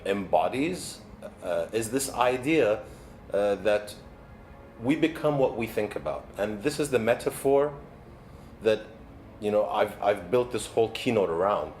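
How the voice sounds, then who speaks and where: A man in his forties from Egypt, a native Arabic speaker, delivers a motivational keynote as a coach in an earnest, measured, deliberate way. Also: medium pitch, darkish tone. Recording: background noise, ambient sound.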